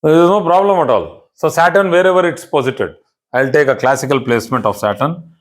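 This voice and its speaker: A man with an Indian English accent, a native Kannada speaker, teaching an online astrology class in an explanatory, emphatic way and repeating key phrases. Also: mid-range voice. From southern India.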